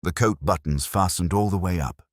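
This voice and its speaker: A man playing a melancholy, cerebral police detective, narrating a mystery audio drama in a measured, literary, reflective manner. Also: sits low, dry recording, studio quality. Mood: brooding, melancholy.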